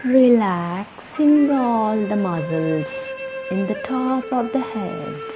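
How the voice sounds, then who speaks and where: Female meditation guide: extremely unhurried, soothing delivery in short spaced phrases, faint music underneath. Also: fairly high pitch, expressive, poor audio. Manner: soothing, gentle instruction with long pauses. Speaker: yoga meditation guide and channel host. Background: soft instrumental music.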